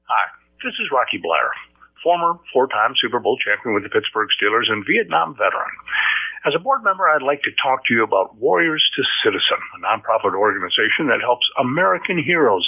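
A man reading an earnest, measured appeal for a charity. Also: medium pitch, sing-song, gravelly, slightly rough. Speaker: charity spokesman in an ad. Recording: tinny phone-like audio.

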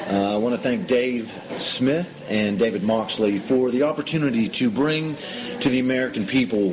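Male talk radio host, mid pitch, halting and filler-heavy, taped in a busy restaurant. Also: low-quality recording. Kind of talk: casual interview chat. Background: restaurant crowd chatter.

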